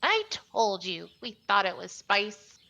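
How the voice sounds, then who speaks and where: A woman's voice: medium pitch, very animated, slow pace, vocal fry, bright, forceful tone, highs cut off, full character voice. A woman voicing a fierce, protective old aunt from a visual novel, blunt and defiant.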